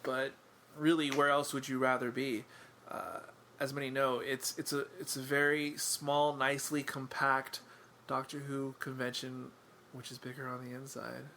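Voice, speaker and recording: A man's voice: mid-range voice, monotone, moderate pace, few pauses, pushed and bright, slight background noise. A man chatting casually as a podcast guest, wry and self-deprecating, rambling with lots of fillers. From England.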